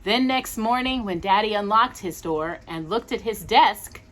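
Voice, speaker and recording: A woman's voice: mid-range voice, lively intonation, unhurried, fairly bright tone. A woman hosting a storytime, reading a children's story aloud expressively with cooing asides to young listeners. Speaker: storytime host.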